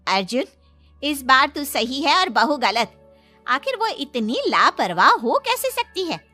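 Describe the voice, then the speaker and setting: A woman voicing a bossy older mother-in-law with a soft heart in a moral story cartoon. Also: high voice, big pitch swings, measured pace, faint music behind, heavily acted. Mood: stern, commanding.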